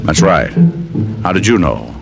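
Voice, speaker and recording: A man's voice: sits low, big pitch swings, a little slow, gravelly, exaggerated voice. An actor playing a wisecracking male private eye who both speaks in scenes and narrates in first person with dry, wry quips, in a 1940s-style radio drama. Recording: old radio drama under loud music.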